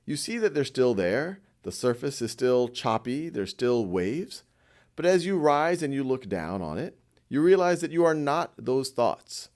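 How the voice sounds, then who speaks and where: Male meditation guide: gentle and reassuring, unhurried delivery with a lively, moving pitch. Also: vocal fry.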